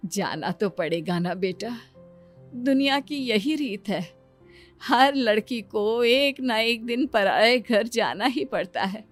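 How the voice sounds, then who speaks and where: Woman playing a slow-speaking, caring middle-aged mother. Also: a little high, animated, faint music behind. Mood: gently insistent. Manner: coaxing, motherly advice. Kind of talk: cartoon moral-story acting.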